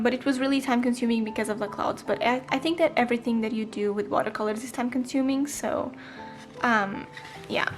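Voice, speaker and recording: A twenty-year-old woman chatting casually in a voice-over, with frequent ums and small self-corrections. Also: a little high. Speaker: planner vlogger. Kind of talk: casual voice-over flip-through.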